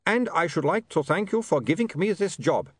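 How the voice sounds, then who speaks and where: An eager-to-please reformed vampire, played by a male narrator, pitched well above a normal reading voice. The delivery is lively and formally polite, a little insistent, as in a storybook told aloud. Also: moderate pace, slightly rough, no echo. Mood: earnest, polite. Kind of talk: audiobook character voice.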